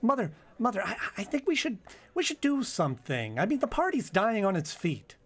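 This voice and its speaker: Man playing a flustered, husky, stammering young sidekick. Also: high voice, huge pitch swings, a little fast, a bit bright, full character voice. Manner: stammering, hesitant. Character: flustered, whiny grown son and detective's sidekick. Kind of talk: old-time radio drama acting.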